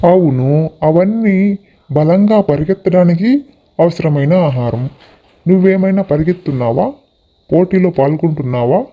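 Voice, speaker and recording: A man voicing a proud, boastful racehorse in a scripted animated moral story, speaking in a haughty, lecturing way. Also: a little high, measured pace, long pauses, narrow, tinny audio, close to an everyday voice. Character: proud, boastful male racehorse who looks down on others.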